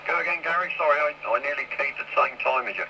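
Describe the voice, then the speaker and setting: A man's voice: a little high, fast. A man chatting casually over CB radio. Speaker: CB radio hobbyist. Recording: noisy, crackly CB radio.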